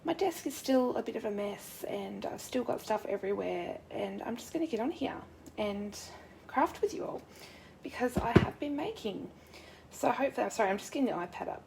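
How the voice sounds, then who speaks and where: A woman with a croaky sore throat chatting casually and rambling as she demonstrates crafting live, greeting viewers by name. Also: a little high, expressive, a little fast, background noise. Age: adult with a son. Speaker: crafting demonstrator, youth worker. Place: home craft desk. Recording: roomy distant mic at a craft desk.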